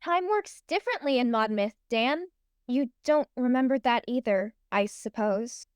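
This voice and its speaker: A woman's voice: on the high side, lively intonation, unhurried, clear, resonant tone, no echo. A woman playing an earnest, hopeful young princess in a fantasy audio play, with a pleading manner. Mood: disappointed.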